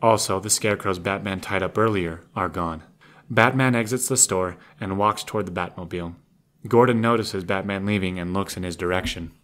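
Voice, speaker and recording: A man's voice: low voice, fairly quick, fairly bright tone. A man reading scripted action narration for an audio drama. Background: music.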